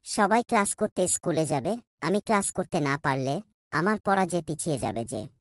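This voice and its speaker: A woman's voice: fairly low pitch, expressive, moderate pace, dry recording, studio quality, lightly acted. A woman voicing an insistent young village boy in a cartoon, whining and pleading.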